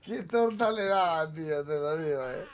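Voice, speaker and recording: A man's voice: fairly high pitch, expressive, normal speed. A man chatting casually with friends during a game. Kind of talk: casual gaming chat. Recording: noisy room ambience.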